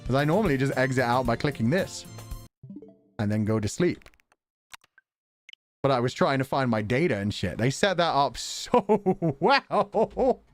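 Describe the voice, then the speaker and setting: A man with a mid-pitched, very lively voice chats casually to his livestream audience. His delivery is wry and sarcastic, with offhand swearing. Music plays behind him. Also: measured pace, pauses often. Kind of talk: casual livestream chat with viewers.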